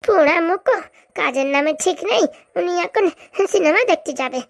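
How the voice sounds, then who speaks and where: Shrill-high nagging cartoon village wife. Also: female voice, animated, moderate pace, creaky, dry recording, exaggerated voice. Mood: scolding. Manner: nagging, colloquial. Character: scolding older village mother and wife, cartoon. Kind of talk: comic cartoon dialogue.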